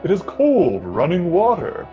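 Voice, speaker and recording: A man's voice: high voice, wildly swooping pitch, dull audio, heavily acted. Guy acting as spooky old radio horror host, real swoopy delivery, darkly jokey, music behind him. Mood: ghoulishly jovial. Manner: theatrical, sinister, drawn-out delivery. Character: macabre, darkly joking male horror-show host. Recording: music under the voice.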